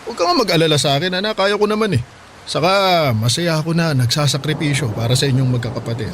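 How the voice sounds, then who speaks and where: A man's voice: a little high, lively intonation, fast, a bit bright, a little hiss or hum. A man playing a hardworking middle-aged father in a Filipino radio drama, plain-spoken and reassuring, with a Filipino accent. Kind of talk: radio drama acting in Tagalog.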